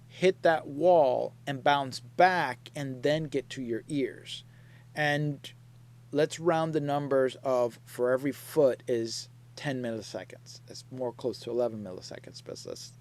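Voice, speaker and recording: A man teaching a live mixing class, explaining slowly and checking in with his students as he goes. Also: fairly high pitch, darkish tone. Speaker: mixing instructor. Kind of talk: live online class lecture.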